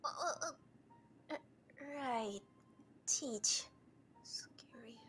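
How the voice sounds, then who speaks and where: A woman's voice: on the high side, extremely slow, bright, forceful tone, a little hiss or hum, exaggerated voice. A woman playing a timid, polite young female Pokemon with a hesitant, stammering manner in a fan comic dub. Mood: shy, nervous. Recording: faint music under the voice.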